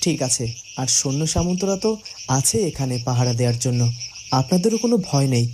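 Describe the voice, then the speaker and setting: A man's voice: mid-range voice, animated, quick pace, close to an everyday voice. A man playing a responsible, courteous young prince giving instructions in a fairytale cartoon. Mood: instructing. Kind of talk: Bengali fairytale cartoon dubbing. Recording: noisy, insect-like night ambience.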